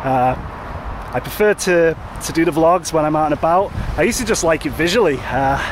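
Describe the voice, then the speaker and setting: Brief: man, fairly high voice, chatty walking vlog on a phone, wind and traffic clearly audible behind him. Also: a little fast. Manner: rambling, relaxed, many fillers and restarts. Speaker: vlogger. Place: outdoors, walking by a road with traffic. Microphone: handheld phone. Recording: outdoor wind and traffic noise.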